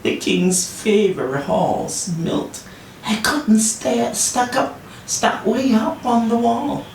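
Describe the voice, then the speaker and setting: A woman reading a children's story expressively as a teacher, with deliberate phrasing and dramatic pauses. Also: on the high side, sing-song, vocal fry, darkish tone. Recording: roomy, distant, faint noise.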